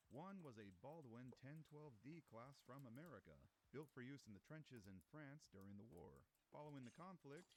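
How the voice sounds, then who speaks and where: A man's voice: mid-range voice, a little hiss or hum, poor audio. A man reading a scripted documentary-style narration as a narrator.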